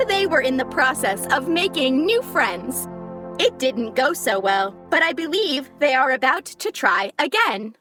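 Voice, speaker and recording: Woman playing a high, singsong, prim female computer. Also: big pitch swings, measured pace, bright, forceful tone, faint music behind, full character voice. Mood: proudly formal. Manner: formal, stilted wording without contractions. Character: prim, naive, literal-minded computer running a digital afterlife. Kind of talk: scripted audio comedy drama.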